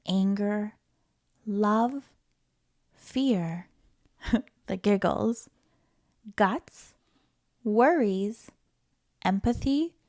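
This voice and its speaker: A woman reading a children's picture book aloud in a gentle, playful, reassuring way, sometimes giggling. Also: mid-range voice. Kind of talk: read-aloud storytime.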